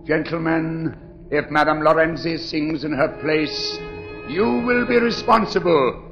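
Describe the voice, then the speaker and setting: A menacing phantom villain in an old radio drama, very slow and deliberate. His voice is fairly high for a man, full of ominous threat, over music on a dull vintage broadcast. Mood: menacing, cold. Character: menacing masked phantom, a vengeful murderous madman. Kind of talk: 1940s radio acting.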